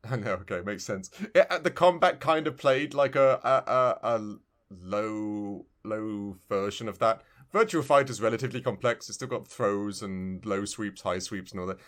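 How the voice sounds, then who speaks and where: A man's voice: fairly low pitch, moderate pace, a bit bright. A man co-hosting a casual video game review podcast, talking in a rambling way and often restarting his phrases. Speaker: podcast co-host.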